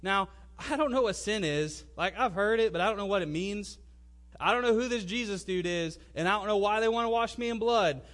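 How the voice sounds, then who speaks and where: A man's voice: high-pitched, fairly bright tone. A man in his twenties telling his personal testimony in a colloquial, conversational way, acting out remembered conversations as he goes. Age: 20s. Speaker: speaker giving a personal testimony. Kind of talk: personal testimony storytelling to an audience.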